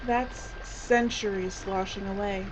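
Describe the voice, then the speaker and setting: An actress playing a dry, bossy documentary director who is wary and sharp in an audio drama. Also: female voice, medium pitch, steady tone, takes it slowly, noisy background, natural voice. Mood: wry, suspicious.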